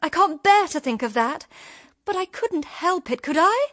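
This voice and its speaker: High-voiced girlish young British woman. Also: big pitch swings, normal speed, a bit bright, no echo, studio quality, exaggerated voice. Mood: distressed. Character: naive, romantic, childlike young woman, a daughter. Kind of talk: period radio drama acting.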